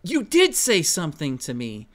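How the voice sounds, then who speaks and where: A man's voice: on the high side, wildly swooping pitch, normal speed. A man voicing a tired, irritable man in a spoken story, who grumbles and then turns wary. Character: weary, grumpy adult man shoveling snow.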